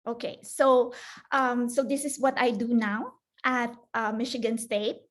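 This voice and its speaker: A woman's voice: fairly high pitch, flat delivery, measured pace. A woman from the Philippines, likely in her forties, giving a conference talk as a librarian, hesitant with many fillers and restarts. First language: Filipino (Tagalog). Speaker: librarian presenter.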